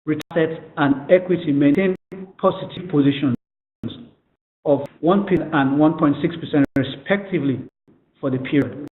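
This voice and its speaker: A man formally presenting financial results on a conference call, reading figures aloud in a halting way. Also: on the high side, a little hiss or hum. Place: conference call phone line. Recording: tinny, echoey telephone conference line.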